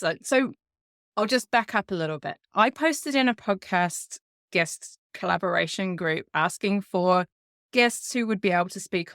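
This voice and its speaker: A woman's voice: on the low side, clean tone. A woman hosting a podcast, opening the show and guiding a guest with questions. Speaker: podcast host. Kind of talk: podcast intro and interview.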